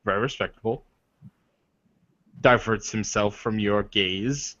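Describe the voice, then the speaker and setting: A man narrating an improvised tabletop roleplay, halting as he searches for words. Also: lowish voice, fairly bright tone. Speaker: roleplayer narrating his character. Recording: dull, lo-fi, roomy sound.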